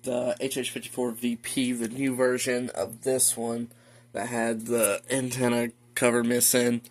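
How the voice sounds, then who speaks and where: A man's voice: mid-range voice, monotone, unhurried. A man giving a casual, rambling, matter-of-fact show-and-tell as a hobbyist collector at home. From United States. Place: indoors at home.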